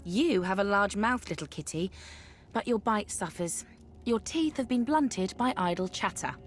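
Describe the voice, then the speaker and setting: An actress playing a stern, bitter ancient sister in a video game, with a formal, scornful way of talking. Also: female voice, mid-range voice, expressive, normal speed, faint music behind, lightly acted.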